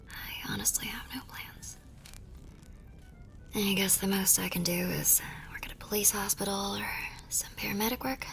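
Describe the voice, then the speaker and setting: Whispering, tender young war nurse played by a woman. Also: medium pitch. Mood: pensive. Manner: soft, breathy, affectionate murmuring. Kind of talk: ASMR romance roleplay, whispered and intimate.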